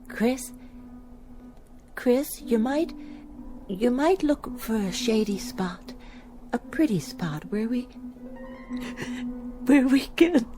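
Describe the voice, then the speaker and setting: A woman's voice: a little high, expressive, slow pace, long pauses, dark tone, soft background music. An actress playing a heartsick, worn pioneer mother in a radio drama, hesitant and fragile. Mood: grieving, tearful. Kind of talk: radio play acting.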